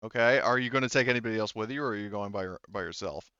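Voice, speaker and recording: A man's voice: fairly low pitch, very fast, sharp tone, clear, resonant tone, dry recording, dull audio. A man running a tabletop roleplaying game, narrating informally and hesitantly as he improvises. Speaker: game master.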